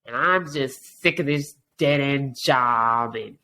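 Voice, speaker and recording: A woman with a stuffy voice putting on a gloomy, constantly complaining coworker who drones slowly through her troubles. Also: extremely low pitch, pushed and bright, heavily acted. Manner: slow, droning complaints.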